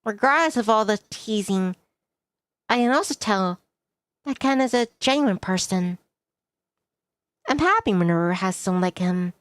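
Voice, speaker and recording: A woman's voice: mid-range voice, animated, moderate pace, long pauses, no echo. A woman streamer narrating a visual novel playthrough, reading the story and dialogue aloud expressively.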